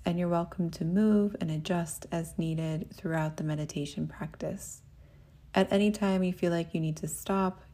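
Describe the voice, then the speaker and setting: A woman with a low voice leads a guided meditation as the teacher. She speaks gently and without hurry, giving soothing instructions.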